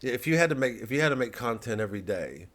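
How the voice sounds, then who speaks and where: A man, a comedian, giving a rambling, casual monologue to an online audience in a halting, digressive, self-deprecating way. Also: mid-range voice, animated, a bit bright.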